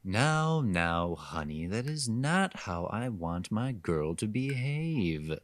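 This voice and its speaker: Actor as slow, condescending male kidnapper, swooping pitch. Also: very animated, no room sound. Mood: patronising. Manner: condescending, preachy, genteel menace. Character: menacing male kidnapper, pompous, patronising, fixated on obedient wives. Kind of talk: horror radio drama acting.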